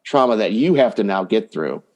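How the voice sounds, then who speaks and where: A man's voice: lowish voice, big pitch swings, moderate pace, a little mellow, lo-fi sound. A man speaking as a dating coach guest in a conversational podcast interview, explaining points by voicing hypothetical quotes.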